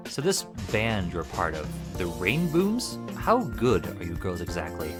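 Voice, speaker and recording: A man's voice: low-pitched, wildly swooping pitch, unhurried, full character voice. A man playing an earnest young ninja hero in a cartoon fan drama, explaining things seriously. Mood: curious. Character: earnest young heroic male ninja turtle leader. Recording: loud music behind the voice.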